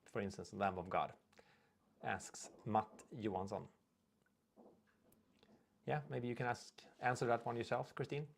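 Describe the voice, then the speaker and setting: A man's voice: mid-range voice, measured pace, gravelly, long pauses, slight background noise. A young Swedish man, speaking English as a second language, hosting a casual livestream. He rambles, reads viewer comments aloud and trips over his words. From Sweden. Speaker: livestream host. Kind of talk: casual live chat reading viewer comments.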